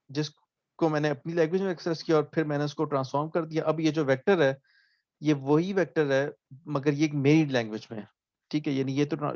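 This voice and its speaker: A man's voice: on the high side, no room sound. Man explaining, dull dry recording. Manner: explains slowly, often asks "ठीक है?", restarts words. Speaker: lecturer. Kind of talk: recorded math lecture.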